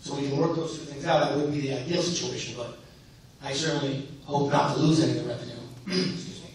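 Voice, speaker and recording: A man's voice: mid-range voice, steady tone, quick pace, vocal fry. A man who is losing his voice, answering as a candidate in a debate. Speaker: mayoral candidate. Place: synagogue hall. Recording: echoey hall from far off, some noise.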